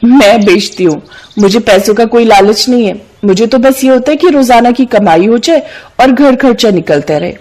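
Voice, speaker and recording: A woman's voice: a little high, lively intonation, moderate pace, darkish tone. A woman voicing an honest, humble young village food seller, polite and earnest, in a cartoon moral story. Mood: earnest, content.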